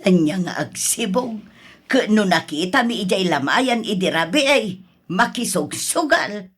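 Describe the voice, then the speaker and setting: A woman's voice: mid-range voice, lively intonation, unhurried, slightly rough. A woman with an Ilocano accent playing a gossipy, suspicious aunt in a radio drama.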